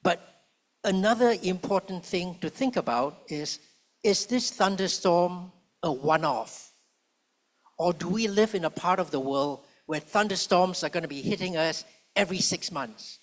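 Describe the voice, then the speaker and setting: A man with a slightly higher voice speaks on a conference panel. He is measured and rhetorical, drawing out his key phrases and leaning into the contrasts he builds. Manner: measured, rhetorical, slows for emphasis. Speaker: panellist, public policy professor. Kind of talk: panel talk. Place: conference hall.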